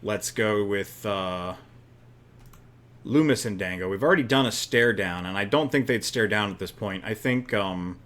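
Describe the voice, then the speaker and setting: A man's voice: lowish voice, moderate pace, a bit bright. A man co-hosting a casual chat while playing a wrestling booking game, easygoing and thinking aloud.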